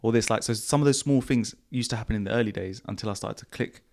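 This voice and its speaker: Croaky-voiced man, low. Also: fast talker. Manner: relaxed, repeats himself, slangy. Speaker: podcast host. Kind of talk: casual podcast chat answering viewer questions. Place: home studio.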